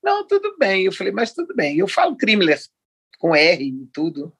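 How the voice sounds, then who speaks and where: A woman's voice: medium pitch, wildly swooping pitch, normal speed, a bit bright, breathy edge. A woman in her sixties from Rio de Janeiro, a writer chatting as a guest in an interview, talking in long digressions and laughing often. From Rio de Janeiro, Brazil. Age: 60s. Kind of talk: casual interview chat.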